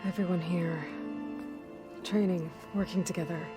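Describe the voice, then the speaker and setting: Woman acting a low-voiced, earnest young heroine. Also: steady tone, normal speed, over music, natural voice. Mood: sober, resolved. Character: young warrior heroine, earnest, dry-witted, guarded but caring. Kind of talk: video game cutscene acting.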